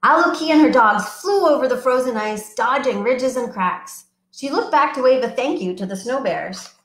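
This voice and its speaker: Lively woman reading aloud to small children. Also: sits high, normal speed, reverberant. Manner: animated, sing-song, coaxing children to join in. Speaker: children's librarian. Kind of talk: storytime read-aloud for young children.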